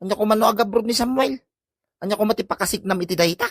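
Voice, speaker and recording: A woman playing an exasperated, sharp-tongued village woman who scolds and mocks in Ilocano comedy drama, with an Ilocano accent. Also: medium pitch, racing, no echo, studio quality, natural voice. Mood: disbelieving, scolding.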